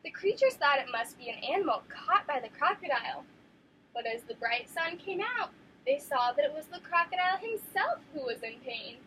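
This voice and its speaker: Woman teacher, classroom read-aloud, encouraging, high voice, very lively intonation. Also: normal speed, slight background noise, low-quality recording. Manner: animated, encouraging, questions to children.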